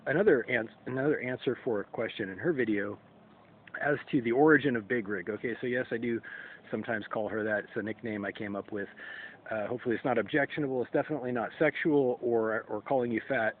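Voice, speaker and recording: Hesitant man in his forties, tinny audio. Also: medium pitch. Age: 40s. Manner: halting, hesitant, frequent restarts and throat clearing. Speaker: YouTube creator. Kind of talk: solo reaction vlog. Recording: tinny phone-like sound with faint ambient noise.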